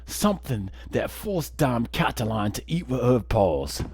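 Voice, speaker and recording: A man voicing a confident, crafty bird defense advocate in a courtroom game, measured and dramatic. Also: medium pitch, big pitch swings, darkish tone, a little hiss or hum, voice pushed down. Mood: assured.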